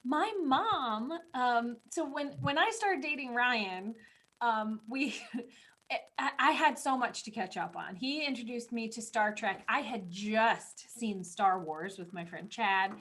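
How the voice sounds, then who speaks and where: A woman co-hosting a casual podcast chat, reminiscing and telling stories in an animated way. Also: a little high, slow. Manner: animated storytelling with acted-out quotes. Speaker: podcast co-host.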